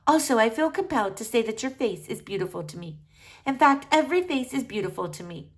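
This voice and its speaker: Woman voicing a pompous, high-pitched storybook boy. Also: animated, fairly quick, natural voice. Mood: earnest, effusive. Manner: pompous, formal, effusive. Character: grandly earnest, wordy, theatrical young boy. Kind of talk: acted children's storybook dialogue.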